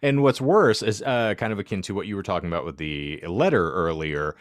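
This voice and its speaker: Male podcast co-host, low register, close dry sound, wry and verbose casual storyteller with a self-deprecating streak. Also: fairly quick, no room sound. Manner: wordy, wry, self-deprecating, with ornate vocabulary.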